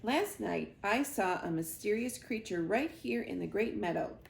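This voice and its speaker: A woman's voice: mid-range voice, animated, a little slow, creaky, roomy sound, a little hiss or hum. A woman voicing a bossy, jumpy male owl who screeches his announcements to a crowd of animals. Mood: announcing.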